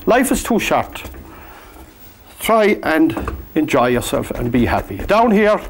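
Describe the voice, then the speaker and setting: An older man with a Cork Irish accent leads a painting lesson in a studio, talking through each stroke in a chatty, encouraging way. His voice sits a little high for a man and swings widely in pitch. He is picked up close on a lapel mic. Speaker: painting instructor. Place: studio with easel and backdrop.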